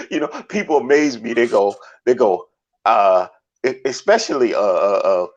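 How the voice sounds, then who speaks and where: A man's voice: medium pitch, animated, unhurried. A man raised in Savannah, Georgia, with a Southern accent, talking in a casual, preachy way with many restarts. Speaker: show host. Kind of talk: casual motivational talk. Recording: dull lo-fi audio.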